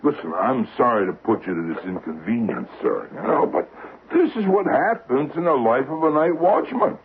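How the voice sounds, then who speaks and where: A man playing an easygoing, apologetic burglar boss in old-time radio drama, genial and rambling with rough grammar. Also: medium pitch, expressive, moderate pace, close to an everyday voice. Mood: mock-polite. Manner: genial, rambling, mock-polite, uneducated grammar. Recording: narrow-band, hissy old radio broadcast.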